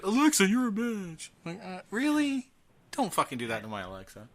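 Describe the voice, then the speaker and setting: A man's voice: high-pitched, wildly swooping pitch, brisk, a bit bright. A man hosting a casual podcast chat, joking at his own expense and swearing freely. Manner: jokey, self-mocking, swears freely. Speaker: podcast host. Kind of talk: casual profane podcast chat about horror.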